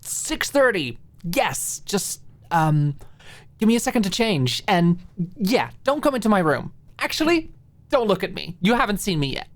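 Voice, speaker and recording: Audiobook role: awkward young woman with a crush, played by a female narrator, higher-pitched, nervously gushing, tripping over her words. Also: sing-song, moderate pace, close to an everyday voice. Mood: panicked excitement. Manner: stammering, self-interrupting.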